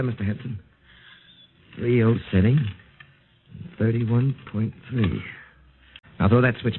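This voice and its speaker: A probing scientist in an old radio play, clinical and in command. His lowish voice is deliberate and authoritative, heard through a thin, tinny broadcast band. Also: slight background noise. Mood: commanding, hypnotic. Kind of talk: mid-century radio drama acting.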